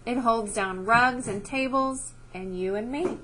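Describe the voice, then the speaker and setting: A woman's voice: fairly high pitch, measured pace, fairly bright tone, a little hiss or hum, rough recording. A woman teacher reading a science book aloud to children, explaining as she goes and checking understanding with short questions.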